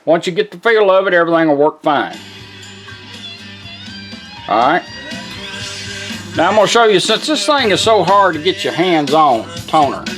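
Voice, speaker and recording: Man, somewhat high voice, folksy step-by-step instructions, casual product demo, guitar music behind. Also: sing-song, normal speed, pauses often, a bit bright. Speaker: presenter demonstrating a car radio. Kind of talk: casual hands-on product demonstration.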